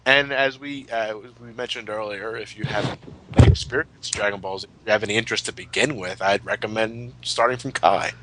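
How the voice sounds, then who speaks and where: A man's voice: on the low side, fairly quick, fairly bright tone, a little hiss or hum, rough recording. A man co-hosting a casual retrospective discussion, speaking bluntly and swearing freely. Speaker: podcast co-host. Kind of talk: casual chat, retrospective commentary.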